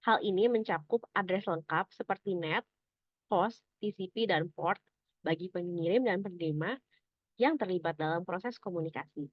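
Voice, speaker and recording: A young woman giving a scripted academic presentation as a student, in a measured, explanatory, somewhat halting manner. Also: lowish voice, dry recording, muffled recording. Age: young adult.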